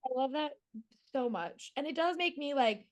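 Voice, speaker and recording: A woman's voice: sits high, animated, moderate pace. A woman interviewing in a casual, chatty style with the enthusiasm of a fan. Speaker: entertainment interviewer.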